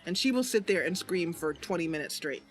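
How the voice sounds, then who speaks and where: A woman's voice: fairly low pitch, animated, fairly quick, soft background music. A woman streamer casually narrating a game roleplay.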